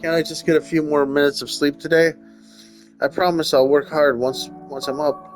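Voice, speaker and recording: A man's voice: on the high side, little pitch movement, moderate pace, faint music behind. A man hosting a gaming video, thinking aloud informally and reading the story text out as he plays. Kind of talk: casual game commentary mixed with read-aloud narration.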